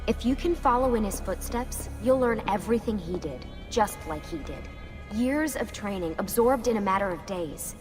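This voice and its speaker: Actress doing serious guarded rebel woman from a video game, measured and urgent, loud music under her. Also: medium pitch, animated, close to an everyday voice. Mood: earnest, reassuring. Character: resolute young woman rebel assassin, guarded and earnest. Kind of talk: video game cutscene acting.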